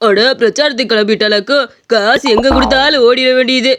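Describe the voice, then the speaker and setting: A woman's voice: a little high, brisk, fairly bright tone, natural voice. A woman playing a lovestruck, teasing village uncle with a blunt manner in a comic skit.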